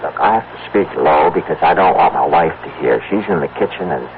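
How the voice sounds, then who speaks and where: An actor playing a worried, insistent husband in an old-time American radio drama, speaking in halting, pressing questions. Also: male voice, rumbling low, animated. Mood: urgent, pressing. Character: worried, insistent husband phoning a doctor secretly. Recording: muffled, noisy old radio recording.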